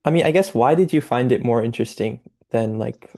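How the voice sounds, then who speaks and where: A man's voice: medium pitch, fairly quick, a little mellow. A young man hosting a podcast, reading an upbeat intro and then asking casual interview questions. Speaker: podcast host.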